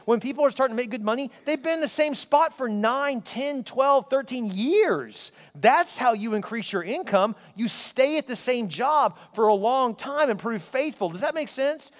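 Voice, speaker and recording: A pastor in his forties preaching a sermon to a large congregation, energetic and conversational, with quips thrown at the crowd. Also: very high-pitched, normal speed, few pauses. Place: large church auditorium. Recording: long hall reverb.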